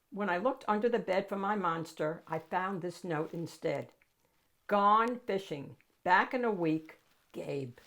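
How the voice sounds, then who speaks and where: Animated, slow-paced woman reading a picture book. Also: mid-range voice. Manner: animated, dramatic. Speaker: picture book reader and host. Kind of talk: expressive read-aloud storytelling for children.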